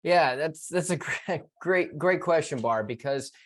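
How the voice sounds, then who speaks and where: A man's voice: a little high, unhurried. A man, apparently a financial advisor, answers a podcast question in casual, emphatic talk.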